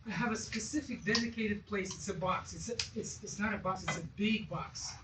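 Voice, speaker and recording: An audience member gives feedback at a business meeting in a halting, repetitive and insistent way. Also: medium pitch. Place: event room with audience. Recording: distant mic, echoey room, clear noise.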